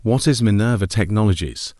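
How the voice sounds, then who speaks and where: A man's voice: a little fast, a little mellow, no echo, studio quality, lightly acted. A man playing a stern survivor leader in an amateur audio drama, curt, serious and commanding. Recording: very noisy with background rumble.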